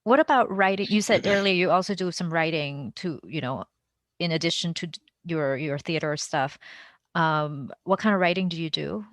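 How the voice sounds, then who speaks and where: A woman's voice: on the low side, moderate pace, no room sound. A woman interviewing a guest in a casual, conversational way, wording her questions loosely and informally as she goes. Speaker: podcast interviewer.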